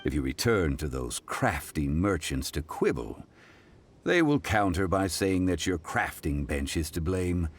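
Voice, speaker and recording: An actor playing a composed, lofty scholarly gentleman in a video game, speaking formally and with measured care. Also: low voice, very animated, heavily acted. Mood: calm, lofty. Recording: music behind the voice.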